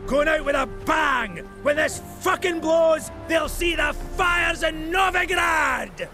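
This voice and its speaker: Casting: cuckolded fantasy dwarf, coarse ranter, creaky and strikingly high for a man, game dialogue over music. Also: slow pace, heavily acted. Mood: furious, ranting. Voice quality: gravelly. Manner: crude, sweary ranting. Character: furious drunken-sounding cuckolded male dwarf, crude and bellowing. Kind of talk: video game character acting. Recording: loud music behind.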